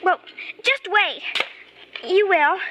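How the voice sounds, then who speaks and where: A woman's voice: very high-pitched, expressive, takes it slowly, exaggerated voice. An actress playing an earnest, determined young schoolgirl with an urgent, pleading manner in an old-time radio drama. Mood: eager, insistent. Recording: noisy vintage radio drama recording.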